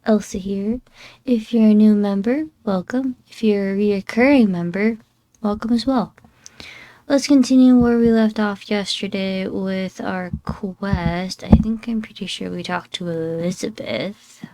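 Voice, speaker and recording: A woman's voice: medium pitch, unhurried, dark tone, dry recording. A young woman hosting a casual gameplay commentary with a scratchy, just-woken-up voice. She rambles, apologizes and pokes fun at herself. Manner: chatty, rambling, apologetic and self-deprecating. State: scratchy voice after waking up. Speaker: gaming channel host.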